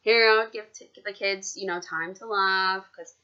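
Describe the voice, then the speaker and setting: A woman reading a children's picture book aloud in an expressive, informal way, with casual asides. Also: medium pitch, moderate pace, clean tone, muffled recording. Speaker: storybook reader.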